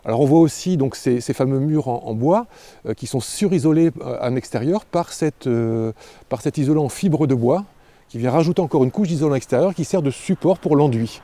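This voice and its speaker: A man with a very dark, mellow voice of average pitch gives an unscripted guided explanation. He speaks like an architect presenting a project, feeling for words and repeating them as he goes. The sound is dry and close. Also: no room sound. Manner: halting, hesitant, repeats words while explaining. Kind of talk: spontaneous guided-tour explanation.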